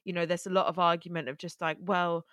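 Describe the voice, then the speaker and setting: Low-voiced chatty Welsh-born woman. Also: steady tone, racing, a bit bright, no echo, studio quality. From Wales. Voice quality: chatty, with many fillers and rephrasings. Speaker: podcast co-host. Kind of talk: casual chat.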